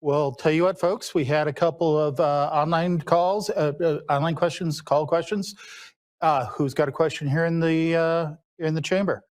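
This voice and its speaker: A man who is a county commissioner, moderating a public meeting in a folksy, halting way with many fillers. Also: on the high side, steady tone, darkish tone.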